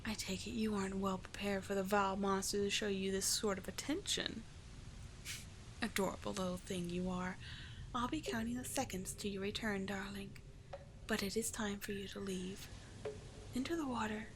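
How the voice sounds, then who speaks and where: A woman voicing a seductive, doting, manipulative sea witch in an ASMR roleplay, breathy and coaxing, lingering on her words. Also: mid-range voice, fairly even intonation, normal speed, close to an everyday voice. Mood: doting, teasing. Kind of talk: ASMR roleplay, slow hypnotic sleep-aid delivery. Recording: clearly noisy, with ambient sound.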